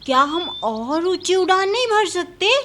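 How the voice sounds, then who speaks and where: A woman's voice: very high voice, animated, unhurried, fairly bright tone, slight background noise, full character voice. A woman voicing a small, childlike baby bird in a children's cartoon. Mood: delighted. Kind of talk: Hindi moral-story cartoon dubbing.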